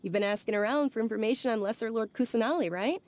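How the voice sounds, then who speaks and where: A woman playing a gentle, polite young follower of a deity in a video game, speaking with soft, hesitant courtesy. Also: a little high, fast talker, lightly acted. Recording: faint music behind the voice. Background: background music.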